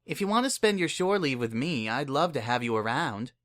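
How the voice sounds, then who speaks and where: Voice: mid-range voice, very animated, fairly quick, a bit bright. A reader voicing a young unicorn mare sorceress, weary and guilt-ridden, speaking in subdued, understated replies in an audiobook.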